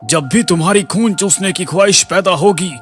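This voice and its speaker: A man voicing a haughty, mocking god of the underworld in an animated fairy tale, imperious and theatrical. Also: sits high, moderate pace, soft background music, close to an everyday voice. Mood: scornful.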